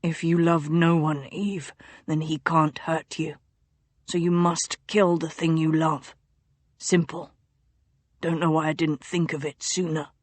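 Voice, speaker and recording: A woman voicing a feverish, delirious young male duke who murmurs in a low hush. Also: flat delivery, measured pace, no room sound. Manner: low hushed murmuring, drifting in and out.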